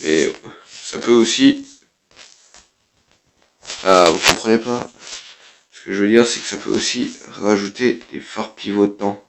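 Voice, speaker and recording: Gravelly man with a cold. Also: on the low side, unhurried, pauses often, slight background noise. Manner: casual, blunt, swears offhandedly. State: has a cold. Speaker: gaming youtuber. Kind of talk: casual let's play commentary.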